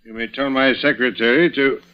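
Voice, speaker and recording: An actor playing a pompous, imperious, wealthy older uncle with formal speech in a vintage radio drama. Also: male voice, mid-range voice, fairly even intonation, normal speed, natural voice. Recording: dull old radio recording.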